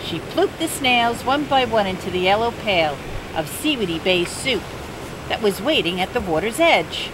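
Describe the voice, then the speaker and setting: An older woman reading a children's picture book aloud in a gentle, unhurried bedtime-story manner. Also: medium pitch. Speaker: storybook reader. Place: outdoors by the sea. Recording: steady outdoor noise.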